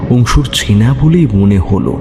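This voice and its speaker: A man narrating a horror story in a dramatic, suspenseful style with measured phrasing. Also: mid-range voice, expressive. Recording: long echoing reverb over music. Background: music.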